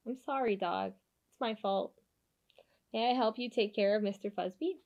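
Woman putting on a little storybook cat, curious, chirpy, squeaky and high. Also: a little slow, muffled recording, full character voice. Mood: apologetic. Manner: high, bright and excitable. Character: small curious young female cat, chirpy.

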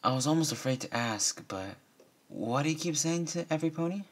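A man's voice: fairly high pitch, lively intonation, fairly quick, pitched above the performer's own voice. A man voicing a bossy, exasperated young female pony with a nagging manner in a story reading. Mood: puzzled.

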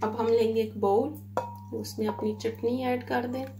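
A woman's voice: a little high, normal speed, slight background noise. Woman explaining cooking step by step. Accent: Pakistani Urdu. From Pakistan. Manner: calm, repetitive, pointing things out to viewers. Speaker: home cooking channel host. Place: home kitchen. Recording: kitchen clatter of dishes and pans.

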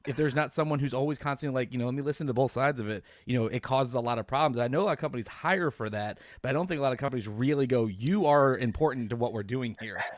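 Fast-talking man, dry close sound. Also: mid-range voice, no room sound. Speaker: podcast host. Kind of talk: casual interview chat.

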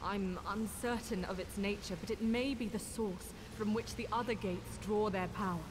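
A woman's voice: mid-range voice, fairly even intonation, moderate pace, big-room sound, noisy background, lightly acted. A woman voicing a determined, scholarly vigilant in a fantasy game, speaking in an earnest, formal and articulate way. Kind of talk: fantasy video game voice acting.

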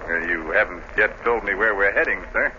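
An actor playing a wary, deferential, superstitious ship's captain in 1930s radio drama style. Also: male voice, on the low side, brisk, lightly acted. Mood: respectful. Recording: dull, noisy 1930s radio recording.

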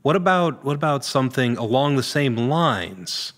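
Guy in his 30s doing a casual podcast interview, medium pitched voice, on a boom mic. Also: expressive, moderate pace, a bit bright. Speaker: actor being interviewed. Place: large indoor room with hard floor and open ceiling. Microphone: podcast microphone on a boom arm.

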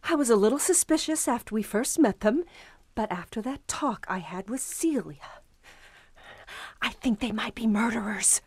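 A woman's voice: on the high side, expressive, moderate pace, a little mellow, breathy edge, no room sound. A woman playing an anxious, suspicious young honeymooning wife in an audio drama. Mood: frightened, insistent. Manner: hesitant, anxious, insistent.

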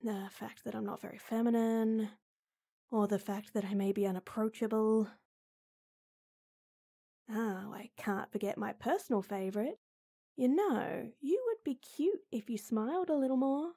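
Woman acting tomboy girl, gruff but caring, unhurried, mellow, sighing, exasperated, audio roleplay drama. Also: on the high side. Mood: annoyed, musing.

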